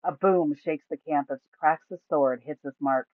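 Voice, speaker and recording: A woman's voice: sits low, measured pace, no room sound. A woman reading scripted fantasy story narration from a tabletop campaign. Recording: thin, phone-like, dry sound.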